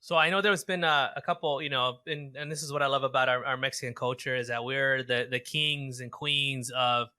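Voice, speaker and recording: A man hosting a podcast, talking in an eager, casual way. He often trips into restarts and repeated words. His voice is bright and forward, recorded dry and close. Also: medium pitch, fairly even intonation, fairly quick, few pauses, clear, resonant tone, no echo. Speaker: podcast host.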